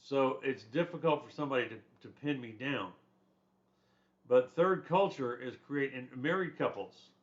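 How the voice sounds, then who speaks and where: A man's voice: medium pitch, normal speed. An older man, a retired soldier, lecturing casually on a textbook chapter in a rambling, conversational way with restarts and asides. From born in Germany, raised in the United States. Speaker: instructor. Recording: slightly dull lo-fi recording.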